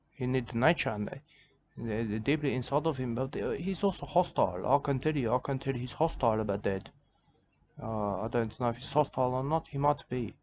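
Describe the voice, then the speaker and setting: A man's voice: medium pitch, a little mellow, slight background noise. A man giving rambling comedic commentary on a video, circling back on the same phrases in broken grammar.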